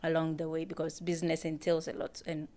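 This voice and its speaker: A woman's voice: low-pitched, monotone, brisk, dark tone. A woman in her twenties from Ghana, a guest chatting casually in a live-streamed interview, hesitant and repeating her words.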